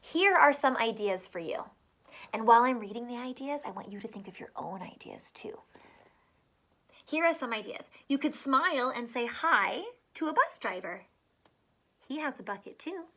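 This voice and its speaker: A woman's voice: on the high side, lively intonation, moderate pace, a bit bright. A woman teacher reading a picture book aloud to children, adding encouraging asides and questions.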